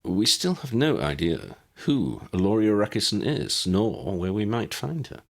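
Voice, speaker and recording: A man voicing a courteous, bookish man who speaks in a formal, measured and wordy way in an audiobook story. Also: on the low side, big pitch swings, creaky, pitched above the performer's own voice, full character voice. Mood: measured, apologetic.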